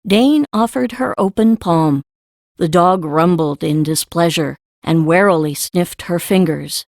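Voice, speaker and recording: A woman's voice: lowish voice, unhurried, darkish tone, no room sound. Woman narrating a story, very dry sound. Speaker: audiobook narrator.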